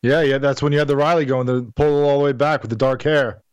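A man's voice: mid-range voice, fairly even intonation, fast talker, clean tone, no room sound. A man bantering casually as a co-host, heard over a slightly dull, lo-fi feed.

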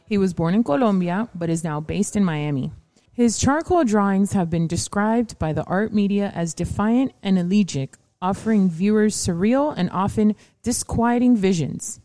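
A woman co-host reading a scripted guest introduction in a measured way. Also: medium pitch, mellow, no room sound.

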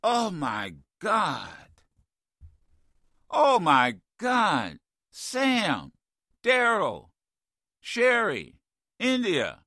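A man hosting a talk radio show, ranting in an animated, exasperated way with many stammered restarts, his voice getting worn. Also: sits high, very slow, long pauses, no room sound, clean studio sound. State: losing his voice. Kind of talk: opinionated talk radio rant.

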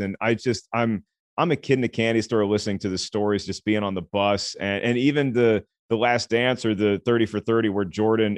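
A man with a deep voice, a film critic chatting as a podcast guest and telling relaxed stories. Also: no echo, studio quality. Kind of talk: podcast conversation.

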